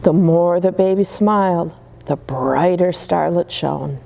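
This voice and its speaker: A woman reading Christmas stories aloud to children in a gentle, soothing way. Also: on the low side, slow pace, creaky. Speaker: storyteller.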